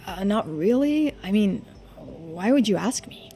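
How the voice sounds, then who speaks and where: A woman's voice: mid-range voice, lively intonation, measured pace, dark tone, a little hiss or hum. A woman voicing an earnest, anxious young man, the protagonist of a video game, in a conversational, hesitant way. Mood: unsure. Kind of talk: video game dialogue read-aloud.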